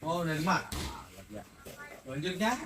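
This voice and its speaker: A man's voice: a little high, sing-song, brisk, distant mic, background noise. A man interviewing casually, affirming answers in an encouraging way.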